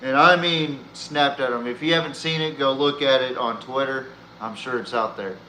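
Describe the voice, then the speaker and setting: Bright, forceful man ranting about sports. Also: mid-range voice, moderate pace. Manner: blunt, profane, exasperated fan ranting. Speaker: sports podcast host. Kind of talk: opinionated sports recap commentary. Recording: roomy echo, faint background noise.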